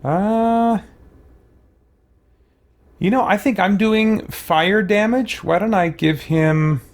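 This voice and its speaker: A man's voice: high voice, takes it slowly. A man giving casual, playful video game commentary, musing to himself as he plays. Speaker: gamer hosting a let's play. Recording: clear game noise behind voice. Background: video game ambience.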